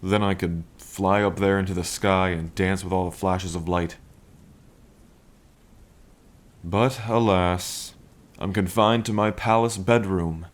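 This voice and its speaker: Man acting as greedy dragon king, low-pitched, languid, teasing, tender, intimate ASMR roleplay, rain behind. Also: lightly acted. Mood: playful, languid. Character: playful, lovestruck, greedy male dragon king. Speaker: roleplay voice performer.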